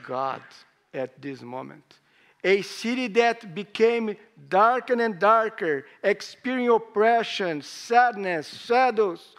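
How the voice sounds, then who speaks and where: A hoarse man preaching a sermon in English, speaking in halting phrases with rising emphasis. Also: high-pitched. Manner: slow, halting delivery with pauses and rising emphasis; non-native English with grammar slips.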